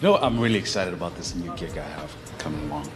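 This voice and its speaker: A man's voice: sits low, animated, quick pace, over music. A man playing a brash, boastful radio DJ in a live radio drama, with a swaggering, playful manner. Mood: cocky, friendly. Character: brash, boastful young male mid-morning radio DJ.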